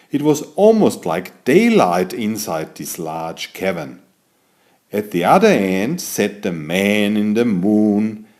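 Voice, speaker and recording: A man narrating a scripted bedtime story for small children in an expressive, exclamatory way. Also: medium pitch. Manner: expressive, exclamatory, addressing young listeners.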